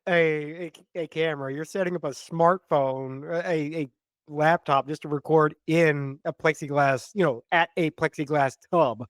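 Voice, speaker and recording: A man's voice: on the high side, fairly even intonation, normal speed, no room sound, clean studio sound. A man co-hosting a casual comedy podcast, teasing and wry, often restarting his sentences. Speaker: podcast co-host.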